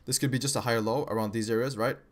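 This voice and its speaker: A man's voice: mid-range voice, fairly even intonation, racing. A young man from Kosovo with an American-sounding accent, casually talking viewers through trading charts on a live stream, slangy, with frequent "bro" and "right?".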